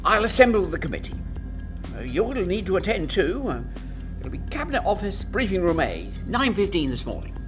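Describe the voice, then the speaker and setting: A woman's voice: fairly low pitch, big pitch swings, a little fast. A woman acting a curt, exasperated, authoritative Prime Minister in a radio drama. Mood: curt, brisk. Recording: music behind the voice.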